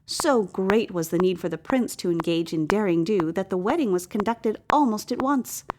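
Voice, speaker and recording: A woman's voice: mid-range voice, expressive, normal speed. A woman with a mid-range voice narrates a scripted storybook tale. Her telling is wry and mock-epic, and there is noticeable noise behind her. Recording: clear background noise.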